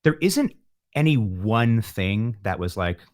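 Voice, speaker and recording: A man in middle age with a low-pitched voice, speaking as a musician being interviewed. He reflects philosophically and thinks aloud, with a wry streak to his delivery. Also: lively intonation, slow, darkish tone. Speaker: musician, podcast guest.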